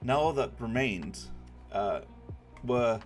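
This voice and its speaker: Male streamer, animated pitch, playful storybook reading and chat, faint music behind. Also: takes it slowly. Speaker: streamer hosting a group dramatic reading. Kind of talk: playful read-aloud with casual banter.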